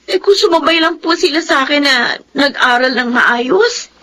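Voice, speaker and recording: An actress playing an earnest, respectful young adult Filipino daughter, polite and concerned, in a radio drama. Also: female voice, high-pitched, lively intonation, normal speed, bright, forceful tone. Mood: saddened.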